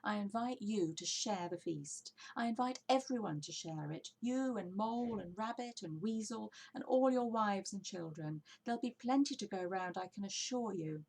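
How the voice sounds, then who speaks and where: A woman's voice: medium pitch, normal speed, creaky, few pauses, a little mellow, rough recording. A woman voicing a sly, confident father fox who speaks with gallant courtesy in a children's storybook. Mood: generous. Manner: assured, gallant.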